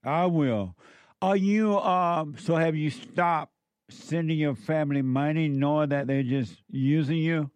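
A man hosting a call-in radio show, speaking briskly and bluntly. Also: a little high, darkish tone, dry recording. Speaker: talk show host.

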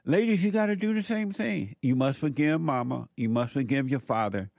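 A man's voice: mid-range voice, lively intonation, normal speed, vocal fry, darkish tone, no echo. A man in his seventies hosting a call-in radio show, giving blunt, preachy advice to callers. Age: 70s.

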